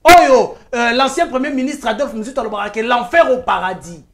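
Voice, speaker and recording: A woman's voice: a little high, fairly quick. A woman journalist from Kinshasa with a Congolese French accent, arguing her case point by point as a guest on a talk show and switching into Lingala phrases. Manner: insistent, argues point by point, mixes in Lingala phrases. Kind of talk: heated talk-show debate.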